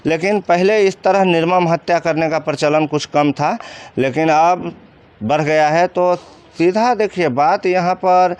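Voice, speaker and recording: A man with a clear, smooth voice pitched a little high speaks in a Bihari accent. He explains things informally, as an interviewed commentator, posing questions and then answering them himself. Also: measured pace, a little mellow. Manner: colloquial, explanatory, poses and answers own questions. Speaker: commentator interviewed about crime cases.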